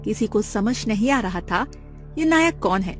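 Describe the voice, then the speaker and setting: A woman's voice: mid-range voice, expressive, a little slow, soft background music. A woman narrating a scripted story in a dramatic style.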